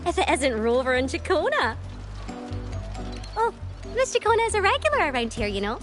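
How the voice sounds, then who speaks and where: A woman's voice: sits high, sing-song, moderate pace. An actress playing a chatty, friendly female tavern keeper in a video game, with a gushing, bouncy manner. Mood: cheerful welcome. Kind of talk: animated video game voice acting. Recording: loud background music.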